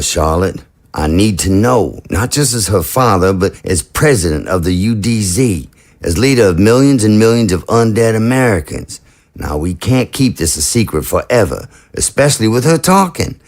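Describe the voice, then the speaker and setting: An actor in an audio drama playing a troubled undead president who is an anxious, tender father, speaking in a halting, earnest way. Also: sits low, lively intonation, darkish tone. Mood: resolute, grave.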